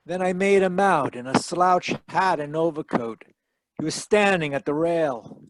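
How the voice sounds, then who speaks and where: A man playing a wry, deadpan hardboiled detective who narrates his case in 1940s radio style. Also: on the high side, a little slow, no room sound, natural voice, poor audio. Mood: tense narration. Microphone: close home mic on a video call.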